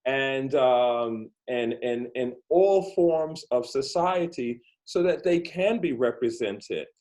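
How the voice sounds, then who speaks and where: A man's voice: mid-range voice, lively intonation, takes it slowly, darkish tone. Earnest man hosting a kids' story interview. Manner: earnest, thinking aloud with fillers and restarts. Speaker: host.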